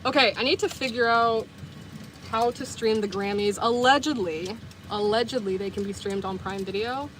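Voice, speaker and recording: A woman's voice: fairly high pitch, normal speed, a bit bright, a little hiss or hum. A woman in her twenties from the US South, chatting casually with livestream viewers, rambling with lots of "like" and self-corrections. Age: 20s. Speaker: vlogger and livestreamer.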